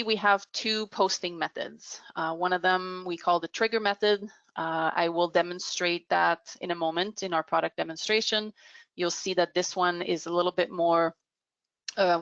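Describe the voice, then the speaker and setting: Low, creaky-voiced woman presenting a demo. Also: a bit bright, dry recording. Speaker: software product demonstrator. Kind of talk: explanatory business software demo. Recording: dull, muffled lo-fi audio.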